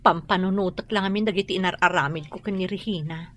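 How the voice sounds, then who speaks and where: An actress with an Ilocano accent playing a sharp-tongued, nosy woman boarder in a radio drama, speaking emphatically. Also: on the low side, steady tone, quick pace, lightly acted. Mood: ashamed, remorseful.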